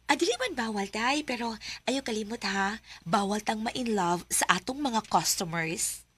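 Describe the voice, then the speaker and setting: A blunt, worldly young coworker voiced by a woman with a somewhat high voice. She scolds and lectures her friend firmly in an amateur radio drama. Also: expressive, normal speed, fairly bright tone, breathy edge. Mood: warning.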